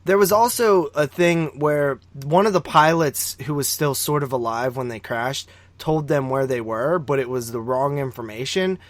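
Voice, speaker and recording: A male podcast co-host with a mid-range voice talks casually through his notes. His delivery is informal and hesitant, broken by frequent pauses as he finds his way. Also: mid-range voice, no echo. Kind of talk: casual movie discussion, recounting notes.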